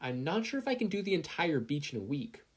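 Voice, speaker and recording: A narrator voicing an earnest, excitable teenage boy hero in training, eager, polite and hesitant. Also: medium pitch, a little fast, husky, voice pushed up.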